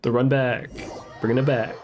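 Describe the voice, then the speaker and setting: A man's voice: medium pitch, darkish tone, a little hiss or hum. A man streaming a game, giving casual live commentary in a laid-back way and reading text from the screen. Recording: game music behind the voice.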